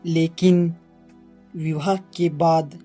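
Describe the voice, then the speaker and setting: A woman playing a grave, formal king and father in an animated moral tale, speaking in a measured way. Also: low voice, soft background music. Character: grave, worried king and father, stern when angered.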